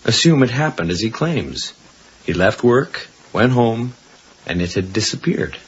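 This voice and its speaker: An actor playing a skeptical, unimpressed psychiatrist with a dismissive, matter-of-fact manner in a radio drama. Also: male voice, medium pitch, sing-song, measured pace, husky, exaggerated voice. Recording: dull, noisy 1980s radio broadcast.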